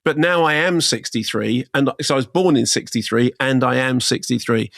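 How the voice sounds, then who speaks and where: A man's voice: medium pitch, moderate pace, gravelly, fairly bright tone, no room sound, studio quality. A man in his sixties co-hosting a casual podcast chat, telling rambling stories and often correcting himself mid-sentence. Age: 60s. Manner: rambling, self-correcting storyteller. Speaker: co-host.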